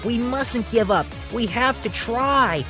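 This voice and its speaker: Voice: mid-range voice, sing-song, a little fast, over music, pitched above the performer's own voice, lightly acted. A storyteller voicing an eager young male tank engine, earnest and urgent, in a children's story.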